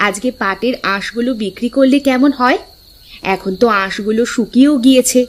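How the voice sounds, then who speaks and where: A woman playing a practical, gently nagging farmer's wife in a village folk-tale cartoon dub. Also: on the high side, fairly quick, slight background noise, natural voice. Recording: music behind the voice.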